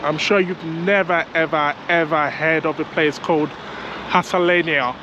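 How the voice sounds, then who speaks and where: A man with a hoarse, sore throat, hesitantly talking through a casual travel vlog while walking outdoors. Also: fairly high pitch, fairly even intonation, moderate pace, muffled recording, noisy background. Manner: hesitant, many ums, thinking aloud. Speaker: travel vlogger. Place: outdoors on city streets.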